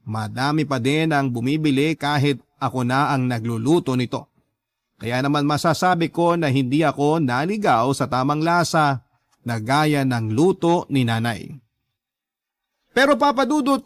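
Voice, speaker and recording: A man hosting a radio love story show, reading a scripted letter dramatically in measured, pause-filled storytelling phrases. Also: a little high, dry recording.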